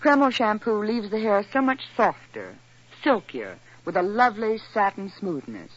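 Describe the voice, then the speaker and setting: Glamorous young model, poised 1940s radio ad endorsement, animated delivery, muffled sound. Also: female voice, mid-range voice, unhurried. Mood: poised, admiring. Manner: poised, assured, scripted endorsement. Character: glamorous fashion model praising shampoo.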